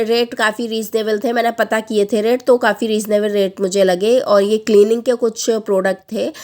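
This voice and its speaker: A woman in her thirties with a sore, husky throat, chattily narrating a family outing as a vlog voice-over and pausing often as she lists prices. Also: on the high side, darkish tone. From Lucknow, India. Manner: chatty, lists prices with frequent hesitant pauses. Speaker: family vlogger.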